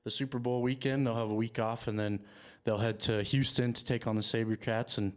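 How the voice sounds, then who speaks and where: Even-toned man hosting a rugby chat. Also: medium pitch, a little fast. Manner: even, steady delivery with many fillers. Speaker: podcast host. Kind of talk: casual sports chat.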